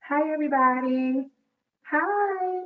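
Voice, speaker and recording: A woman's voice: high voice, slow pace. A woman hosting a live children's read-aloud, chatting to families at storytime. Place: indoors at home, on a live video call. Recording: echoey room, distant muffled mic.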